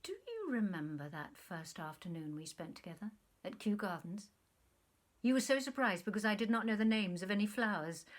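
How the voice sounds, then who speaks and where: A woman reading a storybook part as an earnest, sentimental man who speaks gravely, wistfully and haltingly to a former sweetheart. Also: sits high, sing-song, fairly bright tone.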